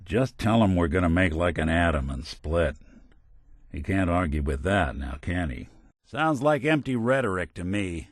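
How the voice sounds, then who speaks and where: Weary noir robot detective for a video game, american, deep voice, dry humor, really moves around in pitch. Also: male voice, expressive, moderate pace, no room sound. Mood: earnest, wry. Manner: wry, dry, old-fashioned hard-boiled slang. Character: world-weary middle-aged male android detective, wry and decent. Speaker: companion character in a video game.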